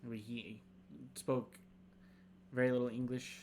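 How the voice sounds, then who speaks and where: A man's voice: medium pitch, little pitch movement, darkish tone, a little hiss or hum. Casual, rambling young man. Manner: rambling, halting, many fillers. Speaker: podcast co-host. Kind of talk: casual chat, reading script aloud.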